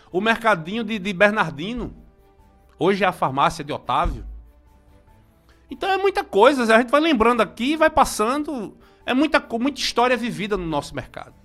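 High-voiced chatty Brazilian man in his forties. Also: a bit bright. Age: 40s. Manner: nostalgic, chatty, many greetings and restarts. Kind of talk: casual local commentary.

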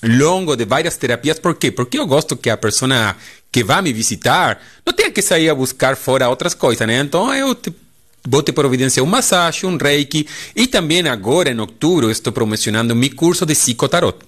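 A middle-aged Argentine man with a Spanish accent, a holistic therapist guest speaking deliberately in a radio studio interview. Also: medium pitch. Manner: deliberate, lively intonation with frequent "né?".

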